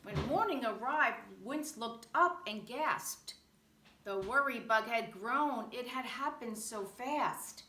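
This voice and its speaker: A woman reading a children's picture book aloud in an expressive, rhythmic way. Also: on the high side, slow pace. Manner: animated, rhythmic rhyming delivery. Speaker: storybook reader. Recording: roomy echo, faint background noise.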